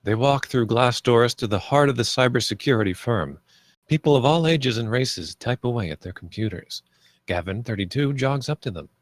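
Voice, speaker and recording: A man's voice: medium pitch, lively intonation, moderate pace, no room sound. A man reading stage directions aloud as scripted narration.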